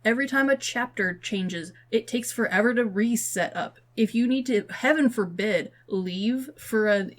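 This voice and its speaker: A young woman co-hosting a podcast in casual, slangy chat, with an animated, joking and exaggerating way of talking. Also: fairly high pitch, moderate pace, hardly any pauses. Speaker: podcast co-host.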